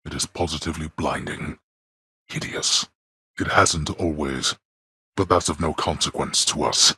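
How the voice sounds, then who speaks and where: A man voicing a stern, scornful god of the dead and imperious father in a video game, with a booming, gravelly voice and formal, archaic phrasing. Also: unhurried, bright, forceful tone, no echo, clean studio sound, full character voice. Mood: grave, weary disdain. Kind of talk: video game character voice acting.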